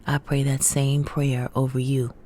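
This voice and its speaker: An actress playing a tender, steadfast older mother and former activist in an audio drama, speaking in a hushed, deliberate way. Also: rumbling low, level tone. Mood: solemn reassurance. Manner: slow, hushed, deliberate delivery. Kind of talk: intimate audio drama acting.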